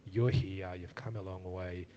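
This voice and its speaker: Low-voiced man, halting panel talk. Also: steady tone, lo-fi sound, a little hiss or hum. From Zimbabwe. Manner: hesitant, many filler sounds, earnest. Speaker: community panelist.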